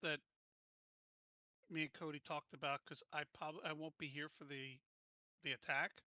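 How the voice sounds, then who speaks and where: Very bright, forceful man, slightly high. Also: level tone, measured pace, dry recording, muffled recording. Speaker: tabletop game player. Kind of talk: casual game planning talk.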